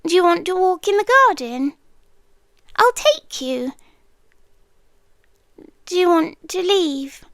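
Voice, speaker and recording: A woman's voice: extremely high pitch, lively intonation, dry recording, clean studio sound, heavily acted. A British woman playing a centuries-old possessive monster lover in an ASMR roleplay, coaxing and sweetly menacing, often slipping into a whisper. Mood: coaxing, insistent. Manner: slow, hushed, coaxing, often drops into whispers.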